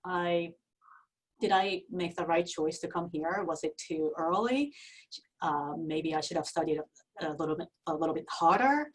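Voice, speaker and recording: A woman's voice: lowish voice, normal speed, recorded from a distance, poor audio. A woman in her forties from Japan with a Japanese accent, a guest in an interview, speaking earnestly with frequent restarts. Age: 40s. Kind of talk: casual interview.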